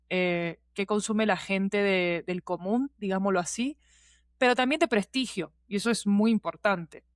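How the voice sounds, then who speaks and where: A woman talks as a guest in a relaxed interview format. Her pitch is average for a woman and the sound is dry. She explains expansively, in colloquial language with clear emphasis on her points. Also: no echo. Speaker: guest, podcast producer and teacher. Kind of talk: casual explanatory interview talk.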